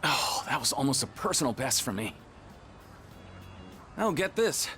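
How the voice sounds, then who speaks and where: Easygoing teen jock, mid voice, chatty n sincere, game dialogue, bit of music behind. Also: male voice, mid-range voice, big pitch swings, measured pace, pushed and bright. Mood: friendly, upbeat. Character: easygoing, earnest, athletic teenage student. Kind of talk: video game voice acting.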